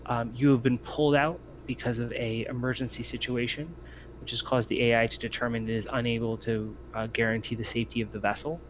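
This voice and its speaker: Male game master for a tabletop roleplaying session, mid-pitched, on a dull, noisy lo-fi recording. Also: flat delivery, fairly quick. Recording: muffled lo-fi mic with clear noise.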